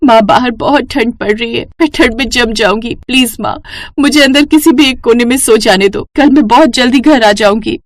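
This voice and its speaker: A woman's voice: on the high side, normal speed, lightly acted. Woman voicing a sorrowful, meek young soup-seller. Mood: pleading. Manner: pleading, plaintive.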